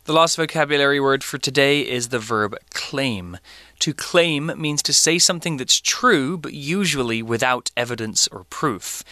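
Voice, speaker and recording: Guy explaining vocab, bright voice, dry close sound. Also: medium pitch, no echo. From Britain. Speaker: English teacher co-host. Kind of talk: radio English lesson.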